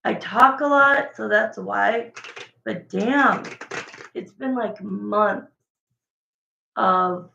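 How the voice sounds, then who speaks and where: A woman with a raspy voice doing a casual livestream tarot reading, chatting and thinking aloud between viewer questions. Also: medium pitch, very slow, pauses often, pushed and bright, a little hiss or hum. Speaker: tarot reader. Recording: echoey, dull lo-fi room sound.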